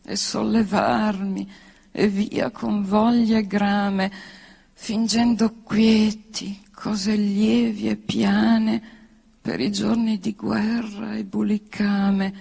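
A woman declaims poetry in a theatrical style with extremely slow, deliberate delivery. Her voice is husky, and it carries through a long hall reverb. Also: mid-range voice, little pitch movement, dark tone. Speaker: poet reading own work. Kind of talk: theatrical verse recitation.

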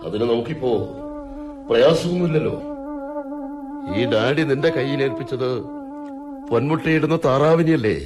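A man playing a cunning, domineering older father, a retired forest ranger, in a radio drama, speaking in a stern, probing, measured way. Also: mid-range voice, close to an everyday voice. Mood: sly, menacing. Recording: radio drama with music behind.

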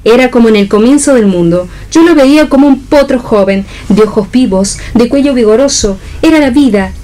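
A woman's voice: fairly high pitch, expressive, fairly quick, warm tone, close to an everyday voice, rough recording. An actress playing a sensual, passionate, philosophizing woman in a theatrical radio reading. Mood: rapturous. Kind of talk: read-theatre stage acting on radio.

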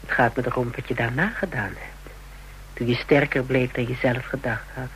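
A man's voice: medium pitch, fairly even intonation, moderate pace, a bit bright, natural voice, low-quality recording. A man acting in a Dutch radio drama as a level-headed, sardonic character in a tight spot, speaking in a clipped, probing way. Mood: quiet, accusing. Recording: hissy, humming radio drama recording.

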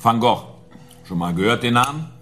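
An actor playing a huge, brutish thug in a radio drama, jeering and condescending, with a cocky manner. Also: male voice, medium pitch, lively intonation, moderate pace, pushed and bright, soft background music. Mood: smug, condescending. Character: huge, brutish, gloating male thug posing as art doctor.

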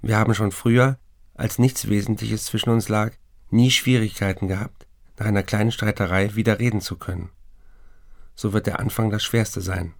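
A man's voice: fairly low pitch, fairly even intonation, moderate pace, vocal fry, no echo, clean studio sound. An actor playing an estranged writer husband reading his letter in a radio drama, formal, clipped and matter-of-fact. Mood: sober, restrained. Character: alcoholic writer husband in an asylum, terse, conciliatory.

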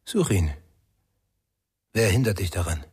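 An actor playing a calm, stoic man in a radio crime drama, speaking in a measured, terse and unyielding way. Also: low voice. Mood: defiant calm. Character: calm, stoic male guardian of an addict.

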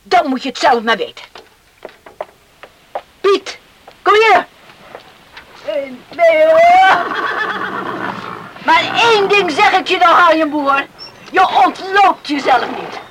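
A woman's voice: extremely high pitch, big pitch swings, slow pace, pauses often, noisy background, full character voice. An actress playing a stern, bitter mother who scolds emphatically and repeats herself, in a radio play. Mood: stern, commanding.

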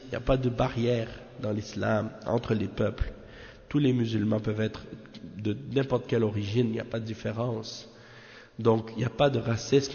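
Male religious teacher, creaky mid-pitched voice, didactic and repetitive delivery, heavy hall reverb. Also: mellow. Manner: didactic, repeats phrases for emphasis, rhetorical check-ins. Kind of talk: extemporaneous religious lesson explaining a commentary. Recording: muffled, long hall-like reverb.

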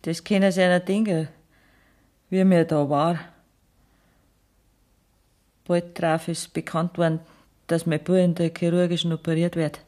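A woman's voice: on the low side, little pitch movement, a little slow, pauses often, warm tone, close to an everyday voice. An actress playing the anguished middle-aged mother of an outlaw, telling her story in Bavarian dialect in a radio play. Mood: bitter, sorrowful.

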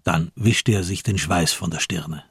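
Low raspy guy narrating a radio play, measured storytelling, very dry sound. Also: a bit bright, no echo. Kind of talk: scripted radio drama narration.

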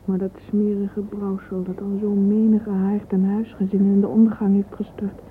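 A woman's voice: medium pitch, little pitch movement, moderate pace, close to an everyday voice. Wistful young woman, muffled old radio play, acted by a woman. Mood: mournful, wistful. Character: wistful, sentimental young woman lost in reverie. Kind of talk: radio drama acting.

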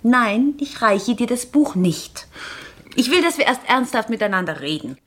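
A woman's voice: a little high, very animated, normal speed. An actress voicing a nervous, insistent married woman in an old radio crime play. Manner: insistent, agitated.

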